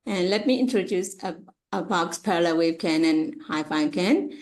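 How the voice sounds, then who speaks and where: A woman with a Burmese accent giving an academic presentation in a halting, hesitant way with frequent uhs. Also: fairly low pitch, darkish tone. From Myanmar. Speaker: conference presenter, researcher.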